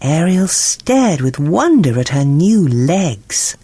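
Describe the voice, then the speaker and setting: A woman narrating a bedtime story in an expressive, measured and dramatic way. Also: low voice, slightly rough, no room sound. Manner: dramatic, measured, acts out characters.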